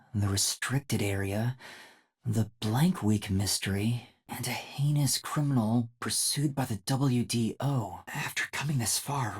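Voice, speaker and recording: A man voicing an earnest, timid young male detective in a video game, soft-spoken and hesitant, with a breathy, youthful voice. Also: mid-range voice, no room sound, clean studio sound, exaggerated voice. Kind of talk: English video game voice acting.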